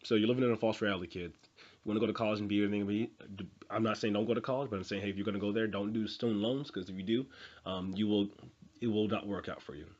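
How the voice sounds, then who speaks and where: An earnest young man in his twenties gives a rambling motivational talk, full of fillers and repeated phrases. His voice sits fairly low and sounds husky. The recording is dull and muffled. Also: steady tone, racing, darkish tone. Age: 20s. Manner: earnest, repetitive, with many fillers and restarts. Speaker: motivational vlogger. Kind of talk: unscripted motivational monologue.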